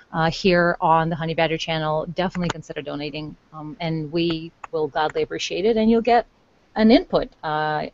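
A woman hosts a casual podcast, talking in a loose, rambling way full of ums and restarts. Her voice sits on the low side. It comes through a dull, dry video-call line. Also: dry recording. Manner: rambling, many fillers and restarts. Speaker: podcast host.

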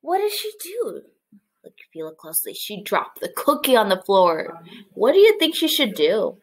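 A young woman preschool teacher reading a picture book aloud to small children and explaining it, with animated, exaggerated expression. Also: mid-range voice, normal speed, slightly rough. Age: young adult. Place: small living room, indoors, on a couch.

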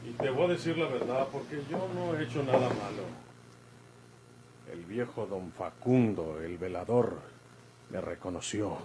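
Folksy older Mexican outlaw, noisy recording. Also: male voice, on the low side, expressive, unhurried. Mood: hushed, confiding. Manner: folksy, rural colloquial speech. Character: older rustic outlaw father hiding under false name, folksy, evasive. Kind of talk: golden-age Mexican radio drama acting. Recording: noisy vintage radio recording.

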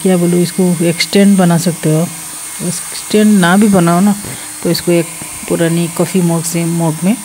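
A woman's voice: lowish voice, dark tone, slight background noise. A woman recording a casual home vlog about a product, chatting in a rambling way with many restarts and English words mixed in. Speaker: vlogger reviewing a product. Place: small room at home, indoors.

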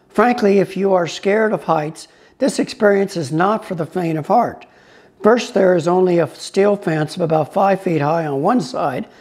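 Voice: medium pitch, a little mellow. A travel vlogger reading a scripted voice-over for a walking tour, informative and speaking to viewers as folks. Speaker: travel vlogger narrating his own tour.

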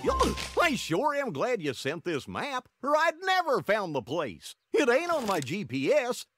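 A man's voice: sits high, huge pitch swings, over music, full character voice. A man voicing a dim, good-natured, gangly cartoon dog with a throaty, goofy drawl and an easygoing, folksy manner. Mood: cheerful. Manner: slow-witted, easygoing, folksy. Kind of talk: animated video game cutscene acting.

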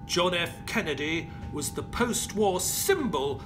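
An actor playing a proper, reflective middle-aged army doctor, narrating formally and with measure in a British accent. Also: male voice, sits high, expressive, takes it slowly, over music. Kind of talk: dramatic first-person scripted narration.

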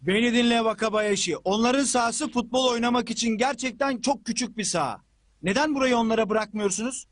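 Very high-voiced, earnest cartoon youth. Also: male voice, a little fast, a bit bright, no echo. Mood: reproachful. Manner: earnest, reproachful. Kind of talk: dubbed cartoon acting.

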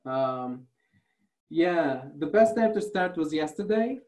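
A man's voice: a little high, animated, slow pace, darkish tone. Hesitant man, non-native English speaker. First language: not English. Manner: hesitant, many fillers and restarts. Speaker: workshop speaker. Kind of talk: online workshop talk over slides. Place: small room indoors.

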